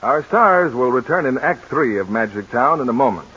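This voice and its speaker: A man announcing in the formal style of 1940s network radio, with a husky voice, on a dull old broadcast recording. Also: mid-range voice, animated, normal speed, pushed and bright, soft background music.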